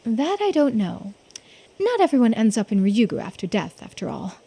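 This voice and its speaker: A woman's voice: fairly high pitch, very animated, normal speed, mellow, husky, full character voice. A woman voicing a composed, courteous keeper of old lore in a video game, explaining things politely. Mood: matter-of-fact. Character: calm, knowing, courteous storyteller of mermaid lore. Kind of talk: video game dialogue read aloud in character.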